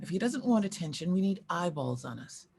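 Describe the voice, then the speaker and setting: A woman's voice: lowish voice, big pitch swings, fairly quick, creaky, darkish tone, breathy edge, full character voice. A woman acting a proud, wry aging former child star in a screenplay table read, with a dry theatrical manner. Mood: urgent, decisive.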